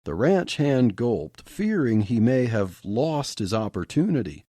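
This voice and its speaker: A man hosting a podcast, reading a story aloud with expressive narration. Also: mid-range voice, unhurried, no echo, studio quality.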